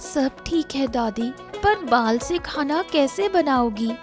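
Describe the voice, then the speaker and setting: Lady doing cartoon young granddaughter, high slow voice, worried and doubtful, music pretty audible. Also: lively intonation. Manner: earnest, questioning. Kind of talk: scripted cartoon story acting.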